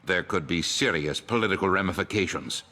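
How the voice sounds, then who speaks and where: Sharp, deep, pompous politician. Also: male voice, brisk. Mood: disapproving. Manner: pompous, pointed. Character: haughty scheming male politician, disapproving and curt. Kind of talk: video game dialogue. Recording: reverberant hall.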